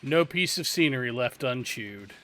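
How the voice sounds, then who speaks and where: A man's voice: medium pitch, expressive, moderate pace, fairly bright tone. A man hosting a let's-play, giving casual commentary with dry, wry asides. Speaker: gaming channel host.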